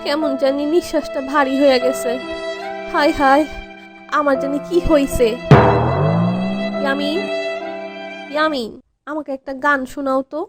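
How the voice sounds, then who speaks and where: A woman's voice: high-pitched, fairly even intonation, normal speed, pauses often, natural voice. A woman voicing a melodramatic, anxious teenage schoolgirl in a comic cartoon, with a whiny manner. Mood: dramatic worry. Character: dramatic, anxious teenage schoolgirl awaiting exam results. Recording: music behind the voice.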